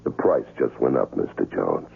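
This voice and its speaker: Very deep-voiced, gravelly American hitman. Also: expressive, moderate pace, exaggerated voice. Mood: hard bargaining. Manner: terse, tough, businesslike. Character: hard-nosed professional hired assassin, male, shrewd and world-weary. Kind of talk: 1970s radio drama acting. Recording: thin, phone-like vintage radio, music.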